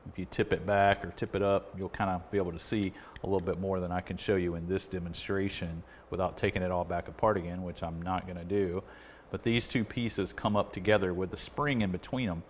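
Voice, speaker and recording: A middle-aged man with a low-pitched voice talks through a hands-on repair. He explains each step casually and in plain words. The recording is made at an indoor workbench, with faint noise behind him. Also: steady tone, fairly quick. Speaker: repair video host. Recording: clearly noisy workshop recording.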